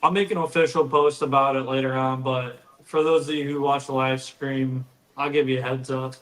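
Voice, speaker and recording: A man's voice: medium pitch, level tone, moderate pace, fairly bright tone, reverberant, low-quality recording. A man co-hosting a live stream in casual chat, easygoing and rambling, saying "dude" a lot and sounding tired.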